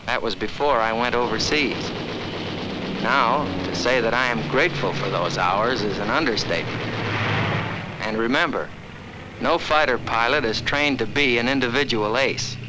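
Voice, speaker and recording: A man's voice: mid-range voice, normal speed, very noisy. A man speaking as a fighter pilot in scripted 1940s military training film narration, on an old film soundtrack. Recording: 1940s film soundtrack, loud music bed.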